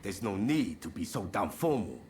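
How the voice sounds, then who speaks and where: A man's voice: lowish voice, big pitch swings, moderate pace, a little mellow, slight background noise. A man playing a frank, informal chief in a samurai video game, with a blunt way of talking. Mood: frank, agreeable. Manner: blunt, informal, plain-spoken. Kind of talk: video game cutscene acting.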